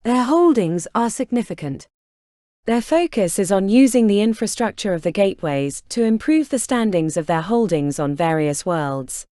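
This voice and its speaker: Brief: a woman voicing a coolly casual female recruiter, mellow lively voice, dry. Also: medium pitch, normal speed, no echo, lightly acted. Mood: businesslike. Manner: smooth, businesslike, unbothered. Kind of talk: audiobook character reading.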